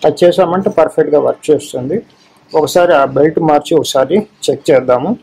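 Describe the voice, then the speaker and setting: Mellow higher-voiced man explaining repairs. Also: creaky, slightly rough, rough recording. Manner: explanatory, halting short phrases with repetition. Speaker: electronics repair technician and presenter. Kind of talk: informal repair demonstration commentary.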